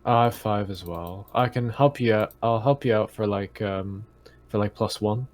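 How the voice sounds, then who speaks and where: A man's voice: lowish voice, moderate pace, a little mellow. A man chatting casually as a player in a tabletop role-playing game.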